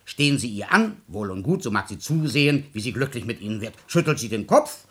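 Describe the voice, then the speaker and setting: Blustering older father, played by a man, swinging wildly in pitch. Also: big pitch swings, fairly quick, close to an everyday voice. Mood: gruff, exasperated. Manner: blustering, earthy, exclamatory. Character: blunt, hot-tempered older musician father, grumbling and loving. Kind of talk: classic German stage drama radio acting.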